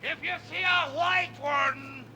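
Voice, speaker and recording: An actor playing an obsessed, vengeful old whaling captain in a classic radio drama, speaking in grandiose, archaic oratory. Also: male voice, very high voice, fairly even intonation, takes it slowly, full character voice. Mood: furious. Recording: faint noise, old radio play recording.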